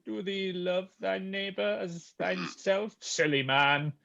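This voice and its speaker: A man's voice: high voice, slow, creaky, a bit bright, full character voice. Man playing a menacing troll king, muffled recording. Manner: archaic, theatrical threatening speech. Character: menacing, haughty troll king who eats humans.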